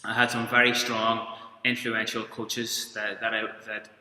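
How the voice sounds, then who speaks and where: A man in his thirties from Belfast with a Belfast accent, giving a coaching webinar in an informal, halting way with frequent restarts. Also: on the low side, completely flat delivery, bright, forceful tone. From Belfast, Northern Ireland. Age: 30s. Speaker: strength and conditioning coach. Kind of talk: webinar presentation. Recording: echoey room with long reverb.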